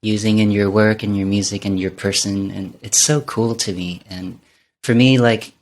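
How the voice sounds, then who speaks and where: A man's voice: lowish voice, fairly even intonation, normal speed. A man born in Vietnam hosting a casual interview, responding with encouraging, affirming remarks. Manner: warm, encouraging, affirming replies. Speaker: podcast host.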